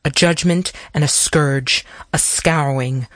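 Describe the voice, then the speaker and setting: A man voicing a stern rural patriarch and preacher in a storybook, speaking with slow, musing deliberateness. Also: a little high, steady tone, a bit bright, no room sound, studio quality. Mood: grave, fervent.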